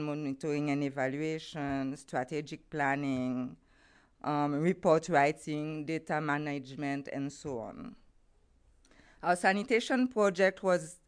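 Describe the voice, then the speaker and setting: A woman's voice: sits low, clear, resonant tone. A middle-aged woman from Haiti with a Haitian accent, speaking English as a second language and giving a formal, carefully phrased talk about her development work. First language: Haitian Creole or French. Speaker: guest speaker, NGO program head. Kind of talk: prepared conference talk.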